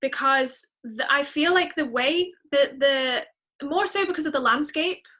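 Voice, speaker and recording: A woman with a fairly high, very bright voice chats as a podcast guest. She thinks aloud in a reflective, enthusiastic way, keeping the conversation casual. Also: measured pace. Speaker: interview guest, musician.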